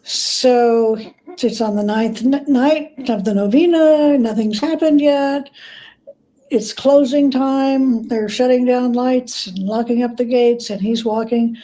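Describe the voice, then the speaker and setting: Female interview guest, higher register, relaxed timbre, conversational storyteller who voices quoted dialogue. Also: slow, poor audio. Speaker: guest biographer.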